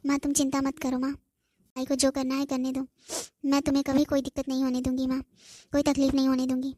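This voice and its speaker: Cartoon daughter, young woman actor, very high voice, narrow melody, earnest and emotional pleading. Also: monotone, brisk, mellow, no room sound, clean studio sound, lightly acted. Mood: consoling, resigned. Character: devoted young daughter, pleading, sentimental about home. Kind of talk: amateur cartoon voice acting.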